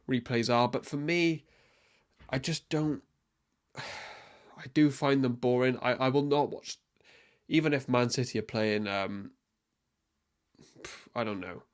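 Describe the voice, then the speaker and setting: A young man from Wales, hosting a casual solo sports podcast and talking in an opinionated, rambling way with frequent ums and restarts. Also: mid-range voice. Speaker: podcast host.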